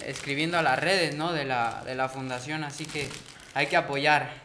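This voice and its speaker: Young man with a higher voice, joking. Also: steady tone, normal speed, bright, forceful tone, slight background noise. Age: 20s. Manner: joking, laughs easily. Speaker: guest bullfighter. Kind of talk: casual chat.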